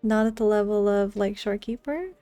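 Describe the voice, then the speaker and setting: A woman streaming a game, chatting casually and reacting softly in a cooing, unhurried way, close to the microphone. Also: medium pitch, no echo, faint music behind.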